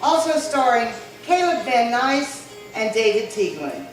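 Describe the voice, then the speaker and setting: A woman's voice: fairly high pitch, very animated, a little slow. A woman reading a scripted announcement as the announcer of a radio show. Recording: echoey hall, distant mic. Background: music.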